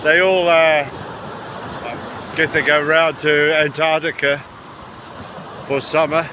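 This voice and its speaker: A man's voice: a little high, fairly even intonation, takes it slowly. Chatty man vlogging in wind noise. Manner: rambling, chatty. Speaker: travel vlogger. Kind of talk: casual walking commentary. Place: outdoors walking along a beach. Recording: windy outdoor recording with surf noise. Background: wind, waves, outdoor ambience.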